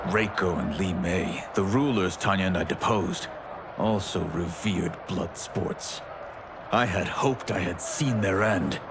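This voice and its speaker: An actor playing a grave, weary deposed ruler turned rebel in a video game, speaking in a solemn, formal manner. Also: male voice, low voice, a little slow, noisy background. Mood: somber. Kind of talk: video game drama acting. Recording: loud music behind the voice. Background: loud cinematic music.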